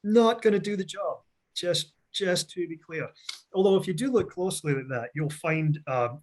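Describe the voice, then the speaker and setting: A middle-aged man from Scotland speaks with a blended Scottish and American accent, his voice sitting a little high for a man. He presents a technical seminar over a video call, chatty and energetic with frequent jokes. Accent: mixed Scottish and American.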